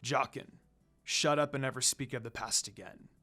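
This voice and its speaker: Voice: medium pitch, moderate pace, pushed and bright, soft background music, voice pushed down. A storyteller voicing a cold, proud male demon lord in a fan fiction reading, murmuring and stern.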